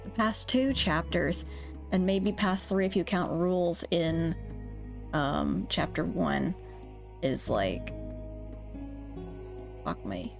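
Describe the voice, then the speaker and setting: A woman's voice: on the low side, animated, slow pace, gravelly, pauses often. Woman streamer, scratchy strained voice, blunt and swears a lot, game music underneath. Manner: blunt, frequent swearing, rambling. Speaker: gaming streamer. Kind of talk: casual gameplay commentary.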